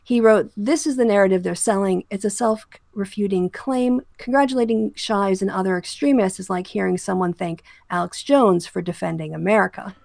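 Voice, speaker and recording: Woman host with slightly creaky voice. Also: mid-range voice, moderate pace, hardly any pauses, darkish tone, dry recording. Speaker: host of an online discussion channel. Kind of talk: casual commentary with guests.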